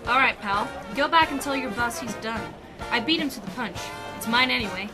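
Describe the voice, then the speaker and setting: A woman's voice: on the high side, lively intonation, brisk, music in the background, crowd noise, close to an everyday voice. A woman playing a bossy, hostile gun-toting crook in a detective radio drama, curt and scornful.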